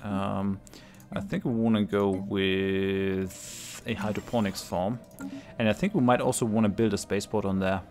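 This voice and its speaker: Let's-play host, male, low voice, has a cold; rambling and chuckly, soft music bed underneath. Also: fairly even intonation, moderate pace. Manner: thinks aloud with frequent ums and restarts; laughs readily between remarks. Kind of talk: casual gameplay commentary.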